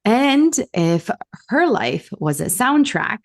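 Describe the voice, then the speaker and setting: A woman's voice: a little high, very animated, slow. A middle-aged woman hosting a podcast, reading a prepared introduction and then asking her guest questions. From Canada. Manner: reads a polished introduction, then asks questions warmly. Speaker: podcast host. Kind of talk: podcast interview.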